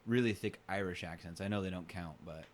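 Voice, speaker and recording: A man co-hosting a podcast in casual conversation, halting and often restarting his phrases. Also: deep voice. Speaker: podcast co-host.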